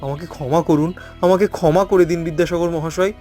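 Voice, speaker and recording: A man voicing a self-important gentleman doctor in a cartoon, in an exaggerated manner. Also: fairly high pitch, fairly even intonation, fairly quick, faint music behind, close to an everyday voice. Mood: ashamed, pleading. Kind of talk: cartoon voice acting.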